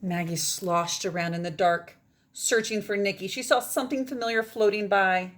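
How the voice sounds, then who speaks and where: A woman's voice: medium pitch, moderate pace. A woman teacher reading a children's storybook aloud in a dramatic manner, often dropping toward a whisper.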